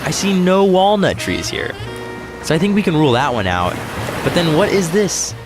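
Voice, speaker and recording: A man playing an easygoing, puzzled space traveler in a sci-fi comedy audio drama, talking in halting, understated questions. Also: fairly high pitch, big pitch swings, music in the background. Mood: pondering.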